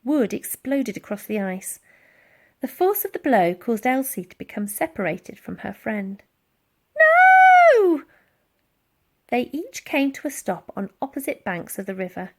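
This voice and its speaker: A woman reading a children's storybook aloud in a dramatic, expressive narrating style. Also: medium pitch, moderate pace, breathy edge. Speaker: audiobook reader.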